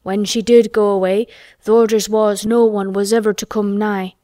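An old Yorkshire gardener , acted out by a woman reader, dry and gruff with a stubborn streak and a tender heart underneath. He speaks slowly in broad dialect, as a storybook character, in a very dry, close recording. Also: medium pitch, level tone, dark tone, no echo, natural voice. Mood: slow, obstinate. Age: older. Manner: dry, slow, gruffly obstinate.

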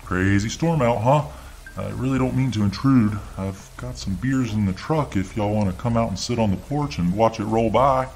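A man's voice: on the low side, normal speed, a little mellow, voice pushed up, close to an everyday voice. A man voicing a friendly, hulking male town hero with a drawl, calling out in a hearty neighborly way. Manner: hearty, neighborly, slightly pleading.

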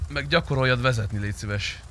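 A man's voice: medium pitch, fairly bright tone. A man from Transylvania chatting casually as he streams an online roleplay game, blunt and crude in a slangy way. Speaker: gaming streamer. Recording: clear background game and vehicle noise.

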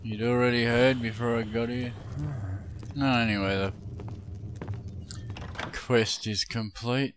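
A man's voice: medium pitch, steady tone, takes it slowly, long pauses, slight background noise. A man giving casual, offhand commentary as he plays through a video game. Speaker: gamer narrating a playthrough. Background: game music and sounds.

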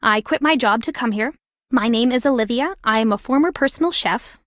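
A woman's voice: fairly high pitch, a little fast, fairly bright tone, dry recording, clean studio sound, close to an everyday voice. A woman playing an earnest young game-show contestant in a video game. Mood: earnest, confident. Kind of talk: video game character acting.

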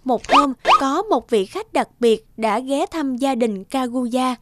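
A woman narrating a scripted fairy tale for children in a measured storytelling manner. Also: high voice, animated, heavy background noise.

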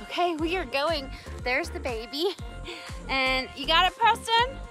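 Excitable high-voiced woman vlogging outdoors. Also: measured pace. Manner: excitable, chatty, encouraging. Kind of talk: casual outdoor vlog chat. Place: outdoors by a bay, dock and water. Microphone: handheld phone or action camera. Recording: music behind, outdoor handheld recording.

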